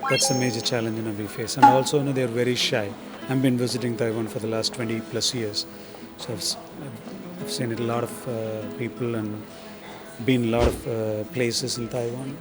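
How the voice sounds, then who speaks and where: A man with a mid-range voice speaks in a measured, even way and keeps adding "you know". Loud music plays behind him. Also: mid-range voice. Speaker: longtime visitor being interviewed.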